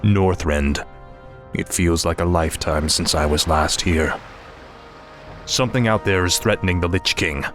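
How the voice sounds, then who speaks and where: A grim undead warrior prince, very low and solemn. He sounds stern and commanding in a fantasy game cutscene, with faint music behind him. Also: measured pace, pauses often, a bit bright. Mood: wary.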